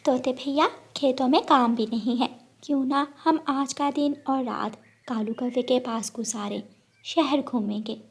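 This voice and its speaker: A woman voicing a kind, hospitable female village sparrow in a children's cartoon, polite and gently explaining. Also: sits high, unhurried, gravelly, breathy edge, heavily acted. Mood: friendly. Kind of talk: children's cartoon story acting.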